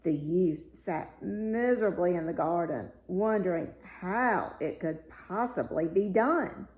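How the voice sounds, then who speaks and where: Female fairy tale narrator, mellow and unhurried, expressive delivery, roomy sound. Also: lowish voice. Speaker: story reader.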